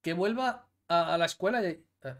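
A man's voice: high-pitched, moderate pace. A man in his thirties from Catalonia giving indignant, emphatic commentary on clips, seated in a small room. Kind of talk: opinionated commentary video. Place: small room with blue LED lights, indoors.

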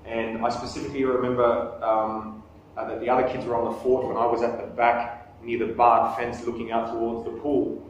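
A man's voice: mid-range voice, level tone, fairly quick. A man in his thirties speaks to a small audience, joking at his own expense and restarting sentences as he goes. He is recorded by a camera across a small meeting room, so his voice sounds distant and very echoey, with faint background noise. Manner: conversational, self-deprecating humor, frequent restarts. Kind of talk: live talk with questions. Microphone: far camera on table.

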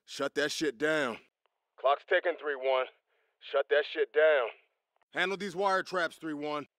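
A man's voice: fairly high pitch, steady tone, unhurried, fairly bright tone, no echo. An actor playing a tough, confident American Marine squad leader in a video game, commanding his men in clipped, salty military jargon. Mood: low, wary. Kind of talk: video game combat dialogue.

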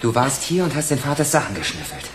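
An actor playing a vengeful, mocking young ex-convict who bullies and threatens in a German radio crime drama. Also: male voice, medium pitch, big pitch swings, fast, echoey room, background noise, lightly acted. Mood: cold threatening. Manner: sneering, sarcastic, bullying.

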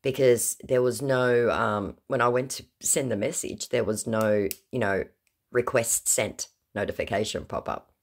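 A woman's voice: very deep voice, measured pace. A woman hosting a scambaiting channel, reading chat messages aloud in a sarcastic, mocking voice-over.

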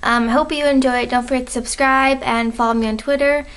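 A woman's voice: high voice, fairly even intonation, brisk, a bit bright, echoey room. A young woman with a cold, sniffling as she gives a casual guitar tutorial from her bedroom. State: sick, sniffling. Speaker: guitar teacher. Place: small bedroom.

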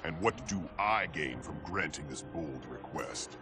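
An actor playing a haughty, menacing tyrant emperor in a video game, with gravelly, grandiose and imperious delivery. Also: male voice, very deep voice, expressive, unhurried, over music. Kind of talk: dramatic video game acting.

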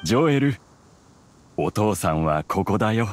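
A man playing a gentle, solemn father and hunter, speaking gravely and tenderly in anime-style game dialogue. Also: fairly low pitch, lively intonation, normal speed, a little hiss or hum, natural voice. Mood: tender reassurance.

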